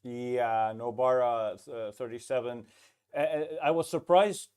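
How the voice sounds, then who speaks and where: A man hosting a casual tech podcast over a remote call, chatty and quick to chime in with short agreements. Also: medium pitch, a little mellow, smooth. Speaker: podcast host.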